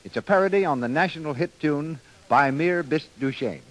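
Casting: American man, vintage 1930s network announcer, slightly high voice, scripted delivery. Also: moderate pace. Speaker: radio announcer.

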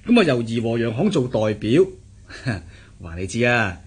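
An actor playing a self-assured, chatty government interpreter in a radio drama, explaining things in a confiding manner. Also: male voice, medium pitch, big pitch swings, measured pace, breathy edge. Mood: confiding, pleased. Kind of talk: Cantonese radio drama acting.

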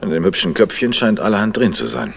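An actor playing a suave, polite crime novelist and amateur detective, smooth and understated, in a German radio play. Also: male voice, lowish voice, very animated, fast. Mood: polite, measured. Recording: faintly noisy vintage radio play recording.

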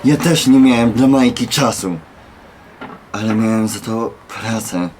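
A man's voice: lowish voice, a little slow, a little mellow, slight background noise, close to an everyday voice. A man acting a bitter, regretful homeless man, muttering with resignation in a radio play.

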